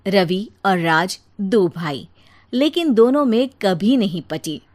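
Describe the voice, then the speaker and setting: A woman's voice: mid-range voice, lively intonation, takes it slowly, darkish tone. A woman narrator reading a scripted cartoon moral story.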